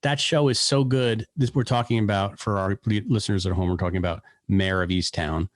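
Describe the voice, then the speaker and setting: A man co-hosting a podcast, chatting in a rambling, animated way with many restarts. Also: lowish voice, brisk, no echo, clean studio sound. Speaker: podcast co-host. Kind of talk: casual podcast chat.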